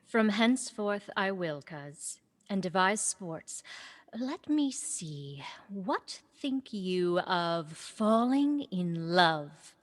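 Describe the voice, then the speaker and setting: A woman's voice: fairly low pitch, expressive, drawn-out words. A woman playing a wistful but witty young noblewoman, a banished duke's daughter, in a Shakespearean radio play.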